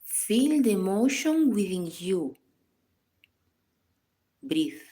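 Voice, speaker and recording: Slow, mellow woman guiding a meditation. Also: medium pitch. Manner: calm, measured, instructional. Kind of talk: scripted guided meditation narration.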